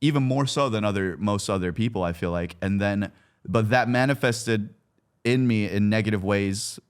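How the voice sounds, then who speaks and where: Low-voiced young man, slangy and candid. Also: normal speed, clear, resonant tone. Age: 20s. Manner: informal, slangy, occasional swearing. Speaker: podcast guest, content creator. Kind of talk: candid personal conversation.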